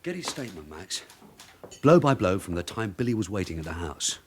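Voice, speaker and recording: An actor playing a shrewd veteran detective sergeant in a British radio drama, a husky voice and terse, probing delivery. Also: male voice, deep voice, sing-song, a little fast, a little hiss or hum. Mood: brisk, decisive. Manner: terse, probing questions and clipped orders.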